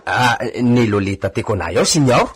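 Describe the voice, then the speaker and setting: A man's voice: mid-range voice, big pitch swings, normal speed, slight background noise, natural voice. A man with an Ilocano accent acting a gossipy, obedient male villa stable hand in a radio drama. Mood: respectful.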